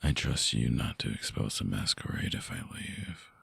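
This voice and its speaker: A man voicing a lovesick vampire in intimate ASMR roleplay, husky and breathy, often dropping toward a whisper, halting, tender and earnest, close on the mic. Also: rumbling low, lively intonation, fairly bright tone, no echo, exaggerated voice. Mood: tender, devoted. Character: lovesick male vampire returning to his lost lover. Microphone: close binaural microphone.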